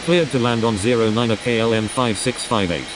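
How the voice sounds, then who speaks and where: A man's voice: mid-range voice, fairly quick, natural voice. A man playing a sober, businesslike airline pilot reading procedural radio calls in a simulator game. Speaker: pilot on air traffic radio. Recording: clearly noisy, steady background hum.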